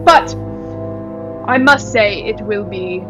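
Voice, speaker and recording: A woman playing a haughty, falsely gracious wealthy hostess in a radio play, with affected, drawn-out politeness. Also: mid-range voice, lightly acted. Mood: gushing, apologetic. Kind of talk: theatrical radio play acting. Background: prominent music.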